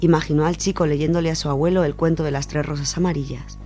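A woman's voice: deep voice, fairly even intonation, quick pace, mellow. A woman with an accent from Spain reading a literary short story aloud as a radio storyteller. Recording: music behind the voice.

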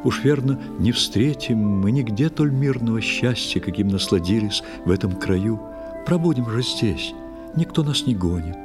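A man's voice: on the low side, lively intonation, moderate pace, over music, close to an everyday voice. A man playing a courtly knight in love, speaking tender theatrical verse in an audio play for children. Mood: tender, persuasive.

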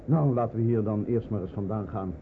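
Low-voiced, polite community official, played by a man, tinny. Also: a little fast, lightly acted. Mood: friendly, brisk. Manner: polite, hesitant, businesslike. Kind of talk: radio drama acting. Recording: tinny radio recording with clear noise.

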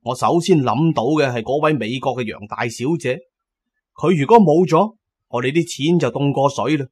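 A man's voice: mid-range voice, husky. A man narrating a first-person adventure story as a dramatic audiobook storyteller.

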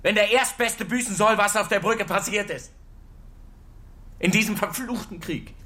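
A guarded captive officer in a radio drama. His voice is bright and forceful, though he speaks quietly and warily. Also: high voice, normal speed. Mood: wary. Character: captive German officer, adult man, wary. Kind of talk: radio play acting.

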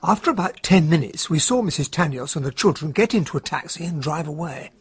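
An affable English gentleman in a radio play. His voice is rather high for a man and very husky. He speaks plainly and practically, as a detective's loyal companion. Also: expressive, fairly quick, heavily acted. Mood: matter-of-fact. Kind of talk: radio drama acting and narration.

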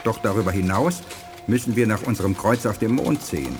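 An actor playing a dignified, benevolent alien scientist with a formal manner in a 1980s German radio play. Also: male voice, lowish voice, brisk, over music, lightly acted. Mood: reassuring.

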